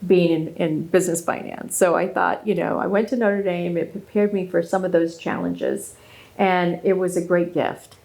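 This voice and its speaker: Woman, 50s, from Southern California, native German speaker, gravelly voice, earnest and animated, often restarting her sentences. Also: fairly low pitch, moderate pace, low-quality recording. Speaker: guest speaker. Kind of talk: interview conversation.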